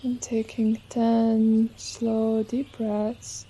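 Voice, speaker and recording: Woman, meditation guide, very soft dark tone, gentle and soothing, birdsong and nature ambience behind. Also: on the high side, completely flat delivery, a little hiss or hum, rough recording. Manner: soothing, unhurried, gentle instruction. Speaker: yoga nidra teacher. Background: birdsong and nature sounds.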